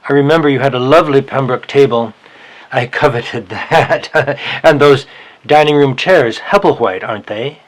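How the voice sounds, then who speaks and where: A man's voice: medium pitch, normal speed, close to an everyday voice. A man playing a fussy, irritable head librarian in a dramatized novel, formal and precise. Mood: prying.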